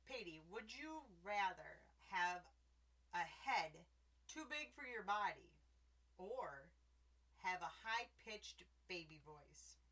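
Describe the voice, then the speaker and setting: A middle-aged woman hosting a casual podcast, a little drunk and slurring slightly, laughing loudly as she chats from her bed at home. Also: medium pitch, lively intonation, slow pace. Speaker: podcast host. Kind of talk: casual tipsy chat. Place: bedroom in a trailer home.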